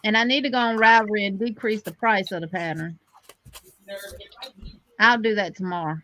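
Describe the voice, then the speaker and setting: Bright-voiced chatty woman. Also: mid-range voice, steady tone, moderate pace, slight background noise, low-quality recording. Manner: chatty, plainspoken, full of fillers. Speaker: co-host of a live crochet show. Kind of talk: casual chat on a livestream.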